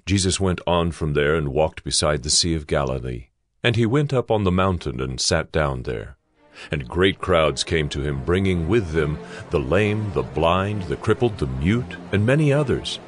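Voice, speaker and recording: Casting: male narrator for dramatized scripture, very low register, expressive melody. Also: normal speed, faint music behind.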